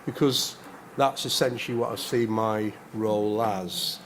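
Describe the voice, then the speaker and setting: A man's voice: fairly low pitch, fairly even intonation, a little slow, slight background noise. Earnest man delivering a panel speech. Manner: earnest, with hesitant restarts. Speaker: politician giving a speech. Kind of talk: prepared speech.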